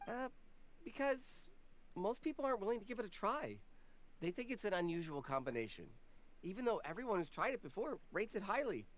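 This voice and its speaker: A woman's voice: mid-range voice, sing-song, fairly quick, lightly acted. A woman playing a humble female snack vendor in a video game, earnest, deferential and easily flustered. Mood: sheepish.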